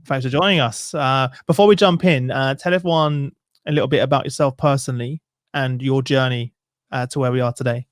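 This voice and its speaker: A man's voice: mid-range voice, fairly even intonation, fairly quick, no room sound. A young man hosting a podcast, friendly and casual, with a few fillers, on a studio mic. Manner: friendly, uses fillers and restarts. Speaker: podcast host. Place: room at home. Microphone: studio mic with headphones.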